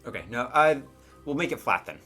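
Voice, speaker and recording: A man's voice: medium pitch, big pitch swings, fairly quick, sharp tone, soft background music. A man working as game master, narrating a tabletop game in casual, improvised chat with asides and hesitations.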